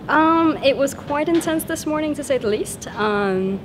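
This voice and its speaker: A woman's voice: high-pitched, animated, measured pace, noisy background. A young woman from Luxembourg answering interview questions about a student simulation, earnest and thoughtful. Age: young adult. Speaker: simulation participant. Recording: music playing underneath.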